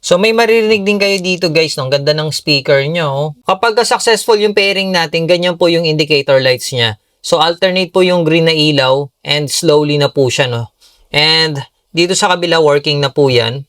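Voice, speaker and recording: A man's voice: a little high, moderate pace, fairly bright tone, dry recording. A Filipino man giving a casual, upbeat product tutorial in a conversational way, often addressing his viewers directly. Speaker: product reviewer and tutorial presenter.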